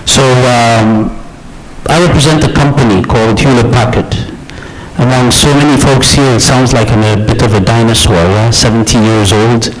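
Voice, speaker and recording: A man's voice: mid-range voice, steady tone, moderate pace, noisy background. A middle-aged man from Ethiopia who grew up in the US, giving an informal conference speech as an executive, often checking in with the audience. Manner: conversational, checks in with "yeah?" and "okay?". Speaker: company executive, conference speaker.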